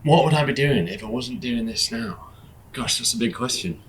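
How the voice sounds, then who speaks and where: A man, a guest performer, musing out loud in casual podcast chat, filling his talk with "you know". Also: medium pitch, animated, moderate pace, slight background noise, low-quality recording. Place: outdoors on a riverboat.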